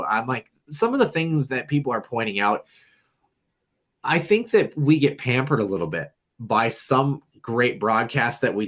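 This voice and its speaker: A man co-hosting a podcast, with a whiny, nasal voice, rambling in casual sports chat. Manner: rambling, animated, jumps in pitch. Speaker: podcast co-host.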